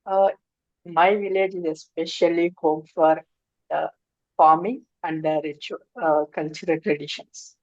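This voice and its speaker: Very low-voiced Bangladeshi woman, hesitant. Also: fairly even intonation, measured pace, darkish tone, no room sound. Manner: hesitant, searching for words. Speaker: student. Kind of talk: practice speaking test answers. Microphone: video call. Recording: dull lo-fi call audio.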